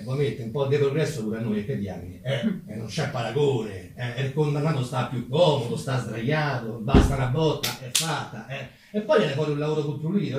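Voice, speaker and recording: A man's voice: a little high, close to an everyday voice. Echoey, folksy Roman umbrella mender, acted by a man. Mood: wry storytelling. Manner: folksy, conversational, rhetorical asides to the audience. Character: chatty, self-deprecating working-class Roman umbrella mender of 1848. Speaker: stage actor. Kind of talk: theatrical monologue in dialect. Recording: very echoey room, distant microphone.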